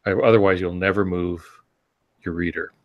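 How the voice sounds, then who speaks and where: An older man with a fairly deep voice talks on a podcast. He is reflective and earnest, building his points through rhetorical questions and deliberate stresses. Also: steady tone, lo-fi sound. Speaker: podcast co-host and novelist. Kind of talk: reflective conversational talk.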